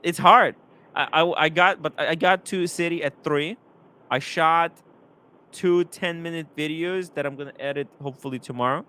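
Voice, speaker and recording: A young man hosting a live stream, rambling and animated, reading chat comments aloud. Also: a little high, normal speed, smooth.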